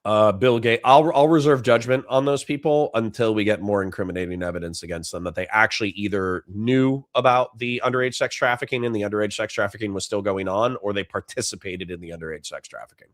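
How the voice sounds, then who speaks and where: Middle-aged male livestream host, low dry voice, rambling and sincere, close dead-sounding room. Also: a little fast, dry recording. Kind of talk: casual livestream chat reading donations.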